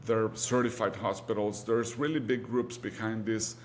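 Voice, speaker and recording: A man's voice: on the low side, fairly even intonation, normal speed. Mexican-accented man with hum behind him. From Mexico City, Mexico. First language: Spanish. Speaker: guest, orthopedic surgeon. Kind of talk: conversational interview answers.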